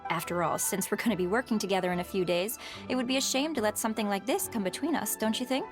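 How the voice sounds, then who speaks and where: A woman's voice: medium pitch, quick pace, music in the background, close to an everyday voice. An actress voicing a gracious, tactful businesswoman in a video game, polite and persuasive. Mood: conciliatory.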